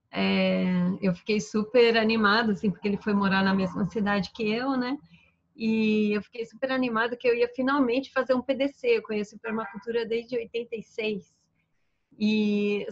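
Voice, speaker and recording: A woman's voice: fairly high pitch, muffled recording. A Brazilian woman in her fifties, a permaculture teacher, introducing herself casually on a web seminar and talking with lots of fillers. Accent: Brazilian Portuguese. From São Paulo, Brazil. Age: 50s. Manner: rambling, with many "é" and "né" fillers. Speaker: permaculture teacher and environmental engineer. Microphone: video call.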